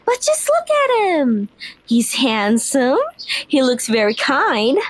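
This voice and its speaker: A woman's voice: high-pitched, wildly swooping pitch, slow pace, exaggerated voice. A woman playing a childish, playful young vampire mistress in an ASMR roleplay, giggly and girlish. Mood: delighted, curious. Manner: giggly, girlish, hesitant little fillers.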